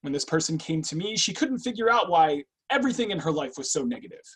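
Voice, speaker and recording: Drama reading: man plays calm male assessor, probing and businesslike, a touch high and rough-edged. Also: quick pace, heavily acted. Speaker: actor in a screenwriters' readers theatre. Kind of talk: staged script reading.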